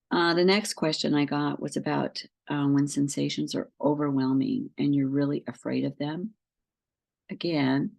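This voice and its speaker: Gravelly-voiced woman coach with a head cold. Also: sits low. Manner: calm, patient, explains step by step with frequent ums. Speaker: somatic tracking coach. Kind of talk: instructional talk to camera.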